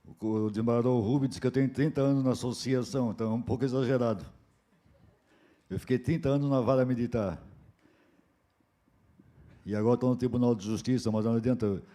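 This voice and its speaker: A hoarse man from southern Brazil opening a conference as moderator, relaxed and joking at his own expense. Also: mid-range voice, fast talker, pauses often. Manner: relaxed, joking, self-deprecating.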